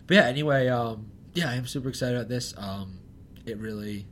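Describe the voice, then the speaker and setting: A man's voice: medium pitch, a little fast. A young man co-hosting a podcast, chatting casually, rambling and self-deprecating, often laughing. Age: young adult. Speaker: podcast co-host. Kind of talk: casual chat.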